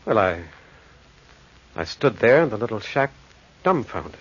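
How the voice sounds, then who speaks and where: An actor playing a curious, puzzled wanderer in an old radio horror drama, speaking and narrating in an earnest, dramatic way. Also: male voice, fairly low pitch, very animated, a little slow, fairly bright tone, husky, full character voice. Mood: tense storytelling. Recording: muffled, noisy old radio recording.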